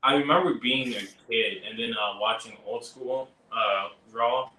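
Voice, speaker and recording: Bright-voiced male wrestler, off-mic and echoey. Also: medium pitch, a little slow, far from the mic. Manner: hesitant, searches for words. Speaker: wrestler, interview guest. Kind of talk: casual interview chat.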